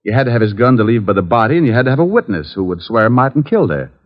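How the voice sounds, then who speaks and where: A hard-boiled private detective played by a male actor in an old radio drama. He is dry, glib and wisecracking, with a middling pitch, heard through a dull vintage recording. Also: sing-song, rapid-fire, lightly acted. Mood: probing. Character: wry, wisecracking private detective, adult man. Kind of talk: 1950s radio acting with hard-boiled narration.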